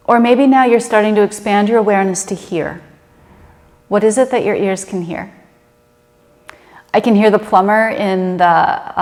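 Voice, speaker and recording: A woman leading a guided meditation in a gentle, unhurried and conversational manner. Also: mid-range voice. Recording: reverberant room with long echo.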